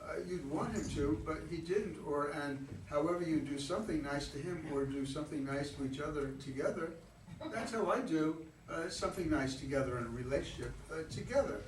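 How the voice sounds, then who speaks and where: A man's voice: a little high, a little fast, lightly acted. A man in a staged play reading, acting a pushy, teasing, talkative flirt who needles a stranger. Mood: musing. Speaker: amateur play reader. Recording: distant roomy recording with faint noise.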